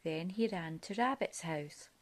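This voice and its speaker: Female storybook reader, lower-pitched, very unhurried, expressive and deliberate delivery for young children. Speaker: parent reading a picture book aloud. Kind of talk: storybook read-aloud for children.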